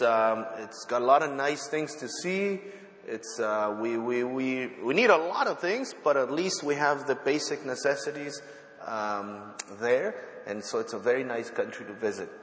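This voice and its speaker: A halting missionary preacher in a reverberant church. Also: male voice, medium pitch, a bit bright. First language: not English. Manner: halting, many fillers and restarts, self-joking. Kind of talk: sermon. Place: church sanctuary.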